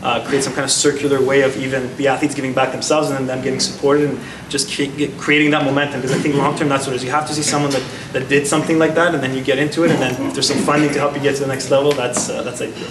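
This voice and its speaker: A man's voice: medium pitch, flat delivery, rapid-fire, hardly any pauses. A man in his thirties, a former athlete, answering onstage interview questions earnestly, with many restarts. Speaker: guest speaker, former athlete. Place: large gala hall. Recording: echoey hall, heard from far off, noisy.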